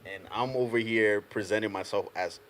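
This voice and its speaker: A young man from Ghana, a panel guest telling animated stories in a casual group chat, often laughing as he talks. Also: lowish voice, moderate pace, slight background noise. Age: young adult. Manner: animated storyteller, laughs through anecdotes.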